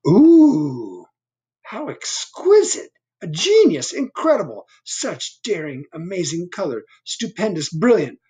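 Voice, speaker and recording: Man doing a gushing, high-swooping storybook owl. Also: wildly swooping pitch, dark tone, exaggerated voice. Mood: awed. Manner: effusive, drawn-out exclamations. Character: gushing owl art admirer, awestruck and theatrical.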